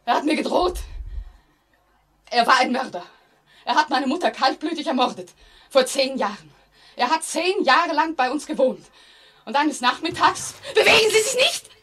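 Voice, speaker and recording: An actress playing a terrified, guilt-ridden woman in an old radio play, speaking haltingly with melodramatic anguish. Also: sits high, lively intonation, fairly bright tone. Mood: agitated. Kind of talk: 1940s German radio play acting. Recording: noisy old 1949 radio recording.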